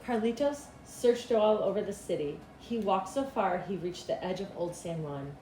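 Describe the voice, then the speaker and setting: A middle-aged woman reading a children's storybook aloud in an expressive, deliberate storytelling manner. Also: medium pitch, faint music behind. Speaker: school principal reading aloud. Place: small cinderblock school room, indoors. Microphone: camera a couple of meters away. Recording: echoey room with clear background noise.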